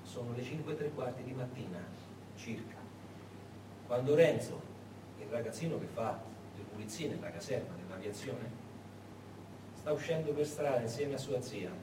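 A man narrating in dramatic theatrical style, deliberate and emphatic, with dramatic pauses. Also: mid-range voice. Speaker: stage narrator and actor. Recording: echoey, noisy recording from far off.

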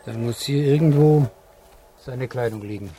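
A man's voice: medium pitch, moderate pace, warm tone, slight background noise, natural voice. An actor playing a puzzled, laconic policeman in a radio play, dry and terse.

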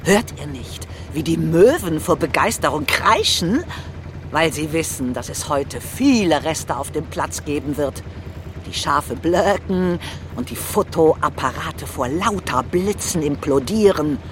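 A woman's voice: deep voice, expressive, measured pace. Woman acting a defiant crusading journalist over engine noise. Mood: grandly triumphant. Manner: theatrical, indignant, grandiose declaiming. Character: defiant crusading female journalist posing as a baroness billionaire. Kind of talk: dramatic stage-like acting. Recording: clear background noise, vehicle-like ambience.

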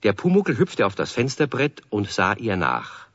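A man with a husky voice narrating a children's radio play as a scripted story. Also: fairly low pitch, wildly swooping pitch, moderate pace, a bit bright, no echo, heavily acted. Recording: dry, muffled 1970s radio recording.

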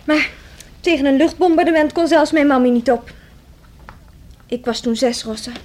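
A woman's voice: high voice, normal speed, darkish tone, close to an everyday voice. A woman playing a cheeky, restless young woman in a radio drama, with a teasing, theatrical manner. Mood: pointed, talkative.

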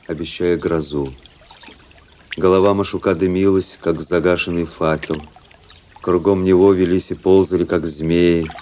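An actor playing a cynical, world-weary young aristocratic officer in a radio play, narrating in a measured, ironic literary manner. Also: male voice, deep voice, mellow. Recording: radio play with faint music behind.

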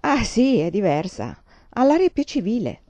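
A woman's voice: mid-range voice, very animated, moderate pace, warm tone, no room sound, lo-fi sound, close to an everyday voice. A woman voicing a stern middle-aged mother who lectures her daughter sharply and impatiently, read aloud as an audiobook character. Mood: scolding.

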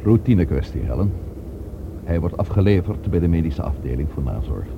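An actor playing a brisk, pragmatic police chief in a radio drama, with a businesslike, dry manner, on an old noisy recording. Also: male voice, deep voice, animated, narrow, tinny audio.

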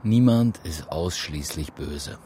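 A man's voice: a bass voice, animated, slow pace, warm tone, slight background noise, heavily acted. A man acting a weary, brooding police investigator in a radio crime drama, talking in terse phrases.

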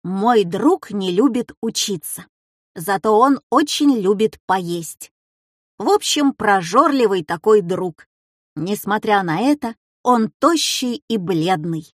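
A woman's voice: medium pitch, lively intonation, slow, no room sound, studio quality. A woman voicing a mischievous, self-assured schoolboy in a children's story, with a cheeky theatrical delivery. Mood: mocking.

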